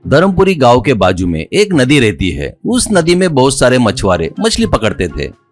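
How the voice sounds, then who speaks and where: A man's voice: mid-range voice, wildly swooping pitch, moderate pace, faint music behind. A man narrating a scripted story as a storyteller.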